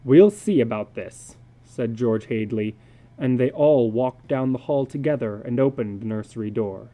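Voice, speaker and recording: A man giving a measured, deliberate dramatic reading of a literary story as its narrator. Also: mid-range voice, warm tone.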